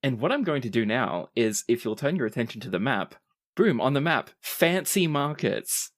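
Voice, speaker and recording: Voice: medium pitch, big pitch swings, normal speed. Improvising game master narrating a tabletop adventure.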